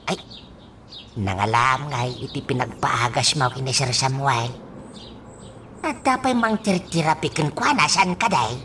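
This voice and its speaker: A woman playing an excitable, admiring sidekick in a radio drama, full of drawn-out exclamations. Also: very deep voice, slight background noise, exaggerated voice. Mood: surprised. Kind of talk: Filipino radio drama acting.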